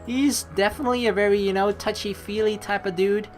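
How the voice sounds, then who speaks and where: A woman's voice: medium pitch, fairly even intonation, a little fast, a little mellow. A woman doing casual, playful gaming commentary while reading a story game's narration aloud. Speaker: let's play streamer. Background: game music.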